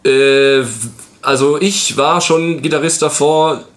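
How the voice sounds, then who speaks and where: A 21-year-old man, a guitarist guest, calmly explaining his band's story in a casual interview. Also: a little high, takes it slowly. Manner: calm, matter-of-fact explaining.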